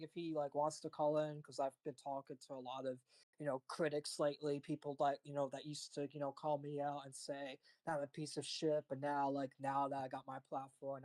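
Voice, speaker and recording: A man's voice: a little high, darkish tone, no room sound, low-quality recording. Guy hosting a live stream, rambles, swears a lot, dry close mic. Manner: rambling, heavy swearing, lots of fillers. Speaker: stream host. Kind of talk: casual live-stream chat.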